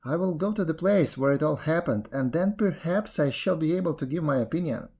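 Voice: medium pitch, lively intonation, fairly quick, dark tone, slightly rough, voice pushed up. A storyteller voicing a sly jackal who acts muddled, plaintive and scatterbrained in an animal folktale. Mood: sad bewilderment. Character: sly jackal feigning muddled, whimpering confusion.

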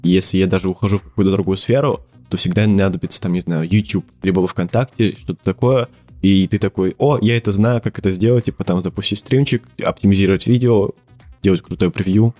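A teenage man from Siberia talks as a podcast guest, rambling through his answers with fillers and restarts and the odd laugh. His voice sits on the low side. Faint music plays underneath. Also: steady tone, fast talker, warm tone. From Siberia, Russia. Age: teens. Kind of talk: casual podcast interview.

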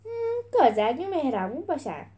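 A vain Roman champion gladiator from a children's story, performed by a woman. He taunts with contempt and has a short temper. The delivery is high for a man and swoops widely. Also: sing-song, mellow. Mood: mocking. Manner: mocking, hot-tempered.

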